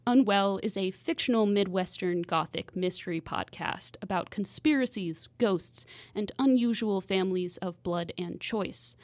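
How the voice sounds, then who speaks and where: A woman's voice: mid-range voice, unhurried, few pauses, a little mellow, dry recording. A woman hosting a podcast, delivering scripted narration in a studio. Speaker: podcast host and producer.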